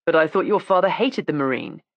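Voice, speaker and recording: A woman reading the part of a courteous, dry-witted male police inspector who speaks calmly and politely. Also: deep voice, fairly quick, no echo, muffled recording. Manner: calm, polite and wry.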